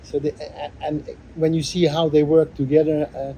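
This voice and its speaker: A man, a workshop coordinator, giving a reflective interview answer in a halting way, with many fillers and restarts. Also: fairly high pitch, flat delivery, creaky, mellow, slight background noise, low-quality recording.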